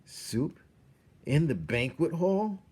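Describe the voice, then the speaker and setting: A man as a plaintive, high-pitched miserable rat. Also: very animated, takes it slowly, darkish tone, breathy edge, heavily acted. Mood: timid hope. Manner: plaintive, moaning. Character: miserable, yearning male rat, self-pitying villain.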